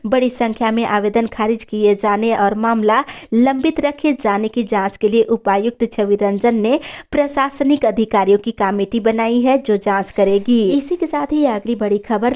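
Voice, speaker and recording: A woman's voice: fairly high pitch, a little mellow. A woman news reader delivering a scripted bulletin in a formal, announcing manner. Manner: formal, announcing headlines then details.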